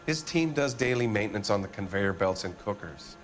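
A man's voice: fairly low pitch, animated, brisk, lightly acted. Guy acting blustery middle-aged american factory boss in a video game, defensive and evasive, music behind him. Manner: indignant, blustering.

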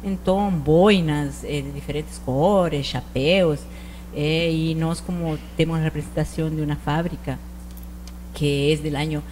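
A woman's voice: deep voice, steady tone, a little slow, a little mellow, slight background noise. A woman in her forties from northern Argentina with an Argentine Spanish accent, talking as a shop owner in a studio interview, mixing Spanish words into her speech and restarting sentences often. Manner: mixes Spanish words into Portuguese, hesitant restarts. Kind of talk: casual interview answers. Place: TV studio set styled as rustic ranch. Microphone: handheld mic.

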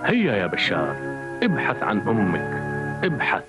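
A man's voice: lowish voice, wildly swooping pitch, normal speed. A man playing the solemn storyteller of a children's cartoon, narrating dramatically. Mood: solemn, stirring. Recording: dull old cartoon dub under music.

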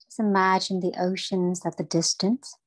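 A woman leading a guided breathing meditation for children, speaking in a gentle, soothing and encouraging way. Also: on the low side, steady tone, moderate pace, no echo, rough recording.

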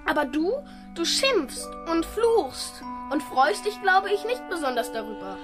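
High-voiced, earnest little boy, acted by a woman. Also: sing-song, measured pace, music in the background, full character voice. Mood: solemn, troubled. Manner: precise, polite, formal diction. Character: earnest, well-mannered, dutiful seven-year-old boy. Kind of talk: radio play acting.

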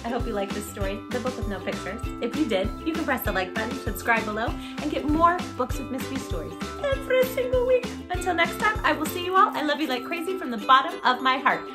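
A woman's voice: high-pitched, animated, fast talker, few pauses, over loud music. A woman in her thirties reading a children's book aloud as a storytime host, with a theatrical, exaggerated silly delivery. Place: small living room, indoors on a sofa.

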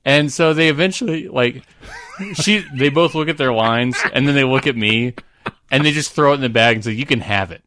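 A man's voice: mid-range voice, fairly quick, bright, forceful tone, dry recording. Man telling casual, jokey stories, dry close sound. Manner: jokey, prone to chuckling. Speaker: podcast co-host. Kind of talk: casual chat, rambling anecdote.

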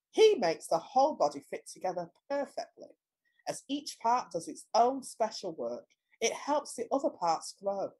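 A woman's voice: mid-range voice, warm tone, husky, rough recording. A woman reading a passage of scripture aloud. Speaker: scripture reader.

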